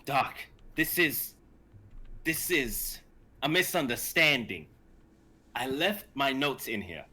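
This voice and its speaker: A man playing a desperate, hot-tempered lab assistant turned thief in a live drama reading, urgent and husky. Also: mid-range voice, big pitch swings, slow pace, sharp tone, heavily acted. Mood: pleading, panicked. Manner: urgent, emphatic.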